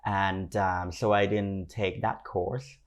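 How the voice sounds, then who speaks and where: Low-pitched man with a Thai accent. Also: little pitch movement, takes it slowly. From Thailand. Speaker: podcast guest, fashion stylist and creative consultant. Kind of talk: conversational interview storytelling.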